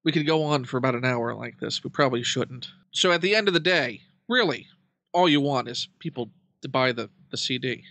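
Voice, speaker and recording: A man's voice: mid-range voice, animated, brisk, pushed and bright, no echo. A man chatting casually as an interviewer, bantering with dry, wry humor.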